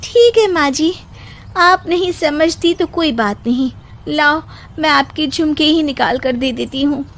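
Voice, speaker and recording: A woman's voice: high-pitched, a little slow, fairly bright tone, pitched above the performer's own voice. A woman voicing a spirited, cheeky young housewife in a comic story, with an emphatic delivery. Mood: resigned. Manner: emphatic, recites mocking rhymes.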